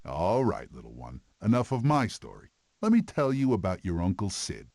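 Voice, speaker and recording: An actor playing a gruff, sardonic male animal in an animated film, telling stories with dry sarcasm. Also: deep voice, normal speed, full character voice. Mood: dry, patient.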